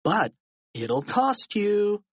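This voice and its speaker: A man voicing excitable, cheeky young schoolboys in a storybook. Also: sits high, extremely slow, no echo, pitched above the performer's own voice, exaggerated voice. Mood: eager, cheeky. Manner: eager, cheeky.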